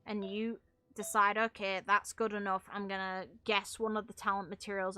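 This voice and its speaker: Casting: female gaming commentator, sharp bright tone, blunt opinionated chat with swearing, dry close recording with faint music behind. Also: medium pitch. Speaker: game streamer and video creator.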